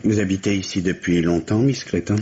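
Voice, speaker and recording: An actor playing a bereaved, polite but defensive husband in a French radio mystery drama. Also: male voice, sits low, fairly even intonation, a little fast, warm tone, lightly acted. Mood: polite, subdued. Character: bereaved husband, shaken, polite, defensive about his wife. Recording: muffled, noisy vintage radio drama recording.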